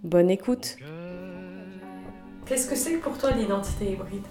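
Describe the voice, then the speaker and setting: A woman's voice: medium pitch, measured pace, music in the background. A woman hosting a podcast, reading scripted introductions and putting questions to a guest. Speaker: podcast host.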